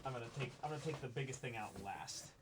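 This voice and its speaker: A young man co-hosting an unboxing video, chatting casually and reading aloud with an exclamatory, animated delivery. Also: medium pitch, fast talker, noisy background. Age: young adult. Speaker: co-host of an unboxing show. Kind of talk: casual excited chat with reading a letter aloud. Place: indoor room, seated before a backdrop.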